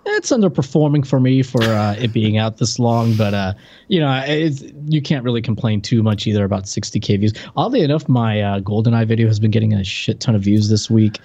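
A man co-hosting a podcast in casual conversation, halting and repeating words as he thinks through his point. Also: on the low side, dark tone, highs cut off. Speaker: podcast co-host. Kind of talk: casual unscripted chat.

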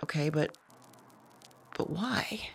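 A woman acting a searching, anxious fugitive visionary who talks to spirits, halting and correcting herself in an audio drama. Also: low-pitched, lively intonation, creaky, a little hiss or hum, exaggerated voice. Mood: searching, emotional. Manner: halting, self-correcting, repeats words. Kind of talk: naturalistic audio drama monologue.

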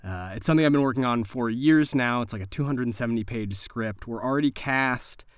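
A man directing a horror comedy show, mixing playful banter with scripted introductions and deadpan, mock-creepy joking. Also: medium pitch, brisk, clear, resonant tone, no echo, clean studio sound. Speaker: guest director and story editor of the show.

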